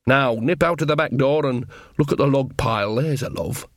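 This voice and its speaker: A man's voice: medium pitch, moderate pace, no echo, clean studio sound, close to an everyday voice. A man voicing an earthy, unsentimental old witch woman in an audiobook, blunt and motherly with folksy turns of speech. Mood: soothing, bossy. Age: older. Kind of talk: audiobook character dialogue.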